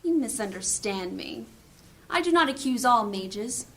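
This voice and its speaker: A woman's voice: on the high side, expressive, a little slow, a bit bright, exaggerated voice. An actress playing a hard, commanding woman thief in a fantasy audio drama, sardonic and menacing. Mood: cold, persuasive.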